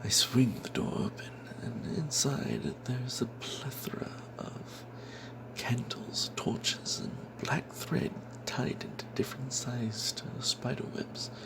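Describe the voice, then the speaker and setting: A man telling a fantasy tabletop tale as whispered ASMR, in a breathy, halting way. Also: mid-range voice, expressive, noisy background.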